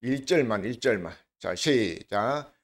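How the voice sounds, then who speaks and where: Older Korean pastor with regional dialect. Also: male voice, medium pitch, lively intonation. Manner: conversational preaching, asks the congregation questions; slows down to lead scripture reading together. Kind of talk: sermon.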